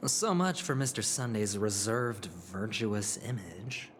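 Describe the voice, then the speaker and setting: A man's voice: lowish voice, big pitch swings, slow pace, slight background noise. An actor playing a playful, sly man in a video game, needling his companion with nonchalant, teasing irony. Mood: sly, amused. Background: music.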